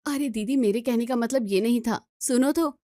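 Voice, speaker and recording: A woman's voice: fairly high pitch, moderate pace, dark tone, dry recording, clean studio sound, close to an everyday voice. A woman voicing a polite, sensible younger sister-in-law in a family story, placating and reasoning.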